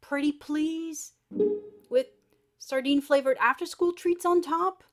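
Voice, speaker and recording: A woman voicing an excitable, bossy, easily exasperated female adventurer from a children's storybook, with dramatic emphasis. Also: sits high, unhurried, faint music behind, voice pushed up. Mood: pleading.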